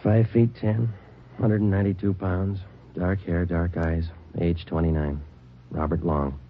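An actor playing a terse, hard-nosed police detective in an old radio crime drama, clipped and commanding. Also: male voice, sits low, level tone, measured pace, noisy background. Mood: grim, flat. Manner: clipped, commanding, matter-of-fact. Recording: muffled old radio recording, loud music and effects.

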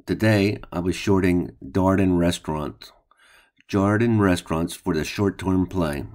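Older man with a very deep voice. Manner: plain, unhurried, with fillers and restarts. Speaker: host of a stock trading channel. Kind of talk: casual screen-share commentary. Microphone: voice-over on screen recording.

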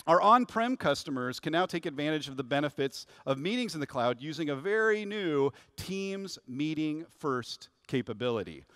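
A man's voice: a little high, expressive, moderate pace, hardly any pauses, a bit bright, dry recording, clean studio sound. A man in his fifties giving a rehearsed, upbeat corporate keynote from a large conference stage. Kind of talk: scripted keynote presentation.

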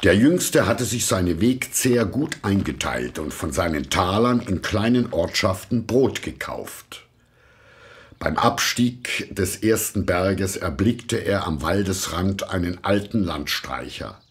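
A man narrating a scripted fairy tale in a deliberate, expressive storytelling manner. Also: sits low. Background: music.

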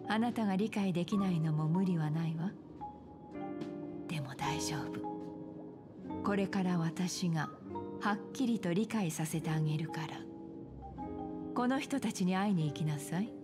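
A woman's voice: lowish voice, measured pace, long pauses, close to an everyday voice. A woman playing an elegant, calculating pawnshop keeper in a video game, speaking politely with cool, teasing composure. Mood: calm, assured. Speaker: game voice actor. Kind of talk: Japanese game story dialogue. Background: game background music.

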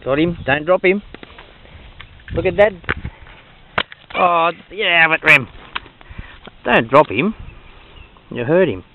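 A man's voice: fairly high pitch, lively intonation, takes it slowly, long pauses. Dad coaching his son at a creek. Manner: coaching, encouraging, short repeated commands. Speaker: father filming his son fishing. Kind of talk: casual instructions while filming. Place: outdoors by a bush creek. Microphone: handheld or head-mounted camera mic. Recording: tinny lo-fi camera audio, faint outdoor noise.

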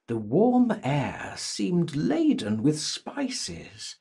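Guy doing a dramatic audiobook read, really swoopy pitch, very raspy voice, theatrical. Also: huge pitch swings, drawn-out words, warm tone. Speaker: audiobook narrator. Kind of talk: dramatic literary audiobook narration.

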